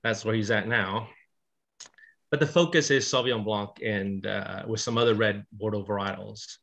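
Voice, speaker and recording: A man's voice: on the low side, moderate pace, creaky. A man from California, a winemaker, telling his story steadily with small fillers on a video call panel. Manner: plain, steady recounting with fillers.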